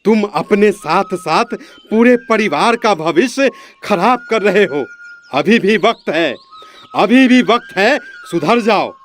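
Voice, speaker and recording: A man's voice: high-pitched, lively intonation, slow pace, slight background noise. A man voicing a stern holy man in a Hindi moral cartoon, lecturing emphatically with repeated admonishments. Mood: scolding, admonishing.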